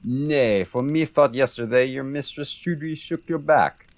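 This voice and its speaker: Young man as French constable for radio Shakespeare. Mid-pitched, sardonic needler with a dry wit. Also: a little slow, lightly acted. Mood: wry sparring. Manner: dry, wry. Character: sardonic French military commander, dry and mocking. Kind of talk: radio theater Shakespeare acting.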